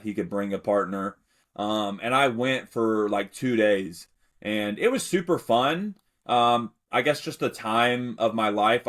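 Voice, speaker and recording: A young man from the talks with an easy, rambling flow. He often stops to restart a thought and fills the gaps with "like" and "um." His voice sits a little low and unhurried. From Alabama, United States. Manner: relaxed, rambling, many fillers and restarts. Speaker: podcast guest. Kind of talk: casual interview storytelling.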